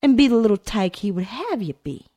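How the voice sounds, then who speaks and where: A woman voicing a mother of about forty who calms and gently scolds her son. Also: on the high side, a little fast, pitched above the performer's own voice. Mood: soothing. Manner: soothing, scolding. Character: mother around forty, firm but gentle.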